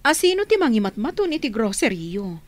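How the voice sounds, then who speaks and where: A woman voices an earnest, caring woman in a radio drama, her voice sitting a little high and sounding close and dry. She asks gently but with concern. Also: big pitch swings, fast talker, no room sound. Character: caring woman named Flora, recently returned, concerned for orphans.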